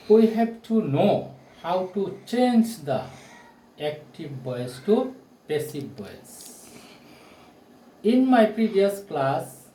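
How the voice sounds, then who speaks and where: A male teacher in his forties gives a grammar lesson at a slow, emphatic pace. He speaks deliberately, as if to a class, repeating himself and posing questions. The sound is echoey, picked up by a camera in a small bare room. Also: fairly high pitch, darkish tone, slight background noise. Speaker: English teacher. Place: small indoor room beside a whiteboard. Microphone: phone or camera a short distance away.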